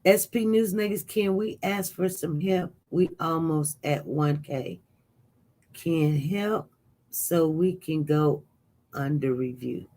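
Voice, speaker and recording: Live-stream news host, casual commentary, reads chat aloud, animated, leaps up high and loud, rambling restarts. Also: slow. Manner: animated, voice jumps up loud when reacting to chat. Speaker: livestream news host reading viewer chat.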